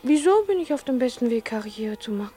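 A woman's voice: on the high side, lively intonation, fast, mellow, soft background music, lightly acted. An actress in a 1960s German radio comedy playing a naive, blunt young working woman with a petulant manner. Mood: naive, pouting. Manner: artless, petulant.

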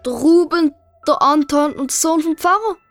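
A woman's voice: high-pitched, moderate pace, faint music behind. A woman playing an earnest, secretive young boy in a children's audio story.

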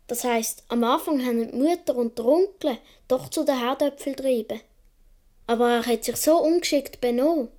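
A woman's voice: on the high side, mellow, lightly acted. A woman with a Swiss accent playing an imaginative boy of seven or eight in a radio play, telling things in an earnest, dreamy way. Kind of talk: radio play monologue, childlike storytelling.

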